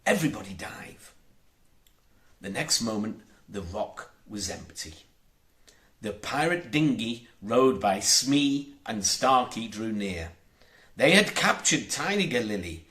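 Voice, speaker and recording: A man narrates a children's story at an unhurried pace. His medium-pitched voice rises and falls widely, and each phrase is set out deliberately and expressively for young listeners. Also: big pitch swings, fairly bright tone. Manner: measured, deliberate storytelling delivery. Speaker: audiobook narrator.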